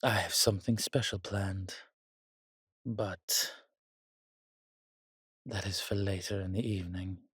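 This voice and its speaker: Man voicing a husky, courtly vampire seducer. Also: deep voice, fairly even intonation, slow pace, long pauses, fairly bright tone, no echo, studio quality, heavily acted. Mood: indulgent, teasing. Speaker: voice actor in an audio drama. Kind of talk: intimate romantic audio roleplay addressed to the listener.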